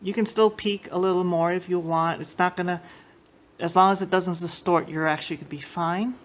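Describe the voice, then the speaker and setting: A woman's voice: on the low side, fairly even intonation, brisk. A woman giving a casual how-to demonstration as a host, explaining informally and a little hesitantly. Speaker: host of a tape deck tech channel. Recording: clear background noise, room ambience.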